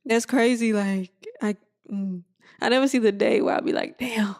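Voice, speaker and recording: A woman in her twenties from Texas, co-hosting a casual podcast chat with plenty of laughter and drawn-out fillers. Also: a little high, fairly even intonation, a little mellow. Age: 20s. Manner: laid-back, laughs often, drawn-out fillers. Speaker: podcast co-host.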